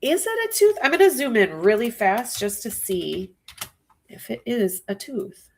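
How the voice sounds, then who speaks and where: Slow-talking, stuffy-nosed woman. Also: fairly high pitch, very animated, vocal fry. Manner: musing aloud, addressing viewers directly. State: stuffy nose. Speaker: true crime livestream host. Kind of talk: casual live-stream commentary.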